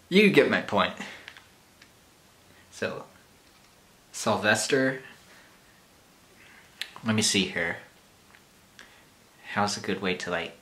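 A man's voice: on the low side, pushed and bright. A young man casually chatting to camera in a rambling, self-deprecating way, in a small bedroom. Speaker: YouTuber. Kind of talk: casual vlog chat.

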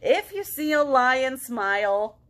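A woman in her thirties reading aloud to young children as a storytime host, expressive and full of questions to draw them in. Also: high-pitched, takes it slowly. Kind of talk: animated picture-book read-aloud for young children. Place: living room at home.

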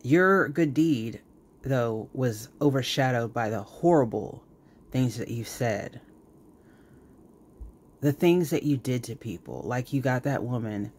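A man's voice: medium pitch, breathy edge. A man delivers reaction commentary as the host of a show. He speaks emphatically and scolds as he goes, hammering points home through repetition. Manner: scolding, emphatic, repeats words for effect.

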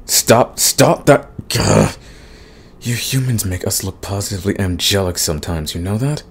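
A man playing a big, grumpy but soft himbo demon in a romantic comedy audio roleplay, husky and stammering in protest. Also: fairly low pitch, normal speed, slight background noise. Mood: flustered, indignant. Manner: grumbling, sighing, stammering protests. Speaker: voice actor.

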